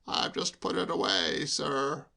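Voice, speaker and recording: A rambling, deferential chauffeur with a polite, proper manner, as a male narrator puts him on. He chats on at length in a slightly raised, gravelly voice. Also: high-pitched, normal speed, pushed and bright, pitched above the performer's own voice, exaggerated voice. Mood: chatty. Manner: deferential, long-winded, rambling. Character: chatty, proper working-class male chauffeur.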